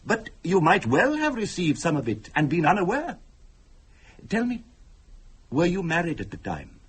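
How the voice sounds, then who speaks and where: Husky, formal, eerie male mystic. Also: sits high, huge pitch swings, full character voice. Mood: measured, courteous. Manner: formal, deliberate, courteous. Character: calm, eerie male mystic telling of curses and spirits. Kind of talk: dramatic radio thriller acting.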